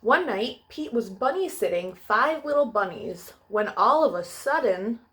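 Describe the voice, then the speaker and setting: A woman's voice: a little high, lively intonation, unhurried, a bit bright, a little hiss or hum. A woman hosting a children's storytime, reading aloud and addressing young listeners in a sing-song way.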